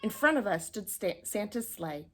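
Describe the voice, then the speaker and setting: A woman narrates a children's story from a book. Her voice sits at a middle pitch, and she reads aloud expressively, as for young listeners. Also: sing-song, moderate pace. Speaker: storybook reader.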